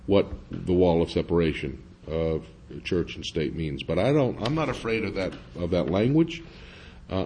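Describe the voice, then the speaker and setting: A man's voice: on the low side, warm tone, a little hiss or hum. A man speaking as a panelist at a public forum, hesitant with many fillers and restarts.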